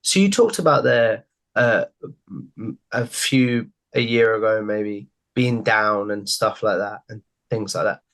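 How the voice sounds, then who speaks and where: A man's voice: on the low side, unhurried, fairly bright tone. A young man hosting a podcast from home over a video call headset, asking friendly, rambling questions and leaning on the same stock phrases. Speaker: podcast host. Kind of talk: casual interview questions. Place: home room.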